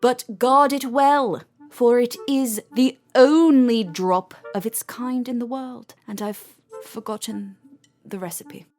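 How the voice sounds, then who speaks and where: A woman's voice: a little high, slow, darkish tone, faint music behind. A woman playing a self-assured, crafty wizard in an audio drama, with theatrical, emphatic delivery. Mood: boastful. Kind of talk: audio drama character acting.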